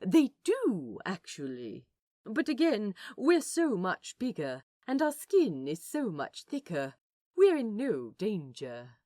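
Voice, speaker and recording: An eager, tender, bookish man, acted by a woman in a fan audio drama. The delivery is slow and proper, with lots of melodic movement, in a dry recording. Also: medium pitch, huge pitch swings, mellow, no room sound, exaggerated voice. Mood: encouraging, delighted. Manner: effusive, proper, encouraging. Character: gentle, eager, flustered, bookish man, easily smitten.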